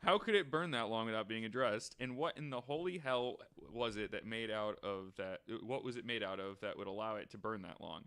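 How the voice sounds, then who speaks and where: Man, lowish pitch, bright forceful tone, casual podcast panelist, reads stories aloud. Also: lively intonation, brisk, few pauses, clear, resonant tone.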